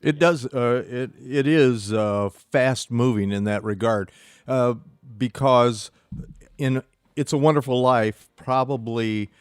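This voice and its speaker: A man, a stage actor, giving halting, measured explanations in a casual interview. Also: medium pitch, clear, resonant tone, no echo, studio quality.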